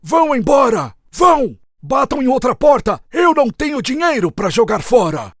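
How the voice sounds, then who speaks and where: A grumpy old miser from a children's cartoon, with a high voice that swoops wildly. He scolds in theatrical exclamations, and faint music plays behind him. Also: sing-song, normal speed, no room sound, clean studio sound, heavily acted. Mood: angry. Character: grumpy miserly old man, bad-tempered businessman. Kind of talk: animated children's story acting.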